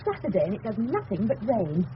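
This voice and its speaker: A woman's voice: mid-range voice, huge pitch swings, brisk. An actress playing a gushing, coaxing suburban married woman with a genteel English accent in a radio drama. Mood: gushing, chatty. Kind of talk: 1970s radio drama acting. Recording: dull noisy old radio recording.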